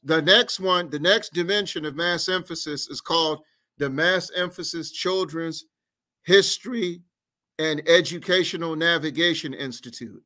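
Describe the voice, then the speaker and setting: A middle-aged man holds forth over a video call, his voice coming through a headset mic from a room at home. He sits high in his range with a bright, forceful edge. He stacks phrase on phrase in rhythmic, repetitive oratory, like a speaker working a crowd. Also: moderate pace. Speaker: guest activist. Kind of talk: impassioned political speech in an interview.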